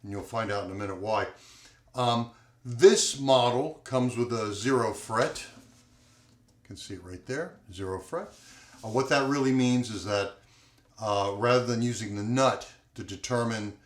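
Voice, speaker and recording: A man reviewing an acoustic guitar in a relaxed, rambling way, with lots of fillers and a loud voice. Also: mid-range voice, a little slow. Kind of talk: casual product review with playing. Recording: echoey room, guitar playing behind.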